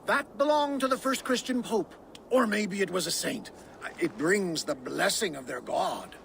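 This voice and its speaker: A sly, wheedling merchant. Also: male voice, high voice, lively intonation, measured pace, gravelly, a bit bright, slight background noise, full character voice. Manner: wheedling, pleading salesman patter. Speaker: merchant character. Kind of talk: video game cutscene acting.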